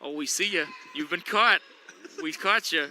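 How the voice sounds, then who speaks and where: A man's voice: fairly high pitch, moderate pace, pushed and bright, a little hiss or hum. A man doing chatty, joking play-by-play of a local school basketball game from the gym. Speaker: play-by-play announcer. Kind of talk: local sports commentary. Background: gym ambience, bouncing basketball.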